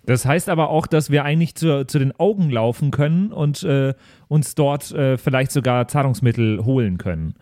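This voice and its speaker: A man's voice: mid-range voice, normal speed, warm tone. A man chatting casually as a regular player on a podcast, chipping in with ideas. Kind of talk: casual chat.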